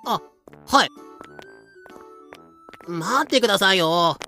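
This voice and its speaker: An actor in a fan audio drama playing an excitable pirate sidekick with casual, reactive speech. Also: mid-range voice, takes it slowly, creaky, faint music behind, full character voice. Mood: exasperated. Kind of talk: Japanese fan-made audio drama acting.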